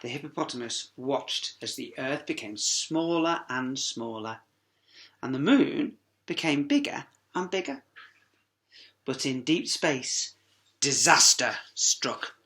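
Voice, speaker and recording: A woman's voice: very deep voice, lively intonation, takes it slowly, fairly bright tone. A woman reading a storybook aloud to young children, with dramatic emphasis and chatty asides.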